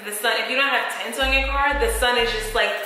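A woman's voice: fairly high pitch, fairly even intonation, quick pace, sharp tone, heavy reverb, in a large hall, rough recording. A young woman co-hosting a casual vlog, blunt and emphatic, with a sassy way of talking. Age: young adult. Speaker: vlogger, co-host. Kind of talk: casual chat. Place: bright room by a large window. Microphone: camera in front, framed side by side.

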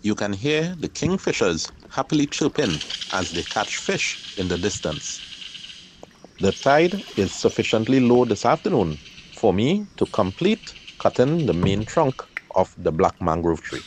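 A middle-aged man, calmly narrating his own kayak outing in a measured, explanatory voice-over. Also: lowish voice, background noise. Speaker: kayaker and channel host.

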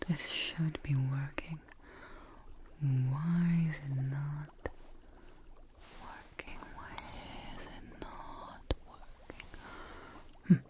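A young woman playing a devoted, tired scientist tending her creation in a breathy, gentle whispered ASMR roleplay, close to the mic. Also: deep voice, steady tone. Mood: puzzled, murmuring. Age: young adult. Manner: slow, breathy, gentle, pausing. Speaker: ASMR performer. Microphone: close ASMR microphone.

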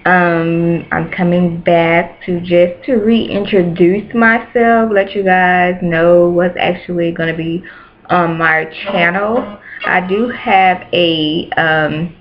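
A woman in her late twenties chatting casually to camera in a small bedroom, repeating herself for emphasis. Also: on the low side. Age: 20s. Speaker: YouTuber. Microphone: webcam at close range. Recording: dull lo-fi webcam audio.

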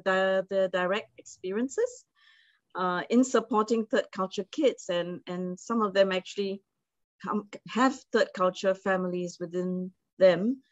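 A woman's voice: mid-range voice, dry recording, muffled recording. A woman hosting and moderating an online forum over a video call, talking with many fillers and restarts. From Singapore. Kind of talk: online webinar introduction.

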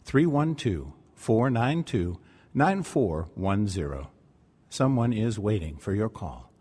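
A man delivering scripted radio ministry announcements and scripture in an earnest, deliberate way. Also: on the low side, very animated, darkish tone, husky.

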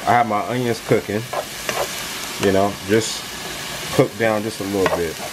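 A man's voice: lowish voice, normal speed. A young man casually walking viewers through each step of his cooking in a home kitchen, filmed on a handheld camera. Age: young adult. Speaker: cooking vlogger. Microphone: handheld camera, close and at arm's length. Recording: home kitchen with frying and stirring noise. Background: food sizzling in a pan.

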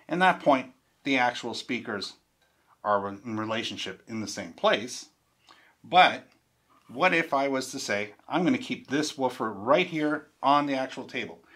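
A man in his fifties with a medium-pitched voice presents a product in a studio room. He explains step by step in an informal, conversational manner, stressing his points as he goes. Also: a bit bright. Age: 50s.

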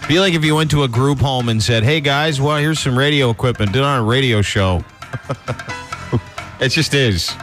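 A man's voice: medium pitch, normal speed, pauses often. Brief: enthusiastic male morning-radio co-host, rambling, laughing, studio mic, faint music. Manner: excited, rambling, laughing storyteller. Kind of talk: casual morning radio chat. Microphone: studio microphone.

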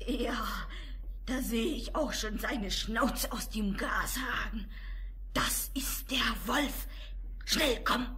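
A mother goat from an old fairy-tale radio play. Her high voice is unhurried and full of motherly feeling, anxious and tender, with dramatic delivery. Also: pushed and bright. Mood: hushed, urgent. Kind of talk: 1960s German children's radio play acting.

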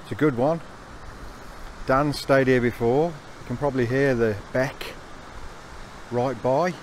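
A man's voice: mid-range voice, steady tone, a little slow, a little mellow, slight background noise, low-quality recording. A middle-aged man vlogging on a hillside hike, talking casually to a handheld camera with an upbeat, chatty manner. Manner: chatty, upbeat, laughs between remarks. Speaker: hiking vlogger. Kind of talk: casual vlog narration. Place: outdoors on open fell hillside. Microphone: handheld camera at arm's length.

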